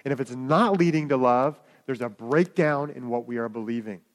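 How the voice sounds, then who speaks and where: A man's voice: medium pitch, moderate pace. A man preaching a sermon, in a conversational way with asides to the congregation. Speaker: pastor.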